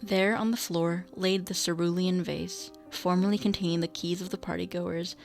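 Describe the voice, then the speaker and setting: A woman narrator tells a scripted radio story. Her voice sits a little low and has noticeable creak, with soft music underneath. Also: steady tone, moderate pace.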